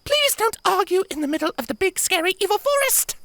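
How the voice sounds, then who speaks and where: A timid little unicorn filly, pleading and fearful. Her storybook voice is far higher than ordinary speech, with a bright, animated sound. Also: a little fast, no echo, exaggerated voice. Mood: frightened.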